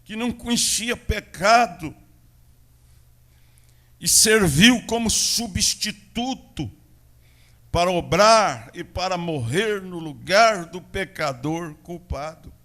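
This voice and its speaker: Slow-preaching Brazilian pastor, higher voice. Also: male voice. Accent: Brazilian Portuguese, São Paulo interior. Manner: preaching with swings between loud proclamation and quiet asides. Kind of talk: sermon. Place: church.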